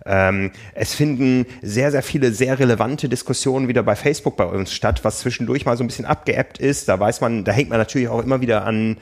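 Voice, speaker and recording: A man hosting a podcast, talking casually and thoughtfully, often pausing with fillers. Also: mid-range voice. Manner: thoughtful, hesitant with many fillers. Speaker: podcast host and magazine editor.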